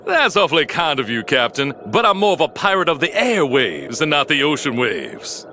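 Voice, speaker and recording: An actor playing an excitable, jumpy pirate-radio DJ who talks in a showy, theatrical announcer style. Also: male voice, on the high side, moderate pace, slight background noise. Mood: gently declining. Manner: animated, chatty, showy. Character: excitable, jumpy male pirate-radio DJ living alone on a moon base. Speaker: radio DJ and narrator.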